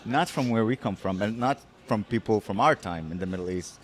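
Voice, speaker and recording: A man hosting a podcast, introducing his guest with eager, halting speech and many restarts. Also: lowish voice, animated. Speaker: podcast host. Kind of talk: casual interview chat. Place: podcast studio.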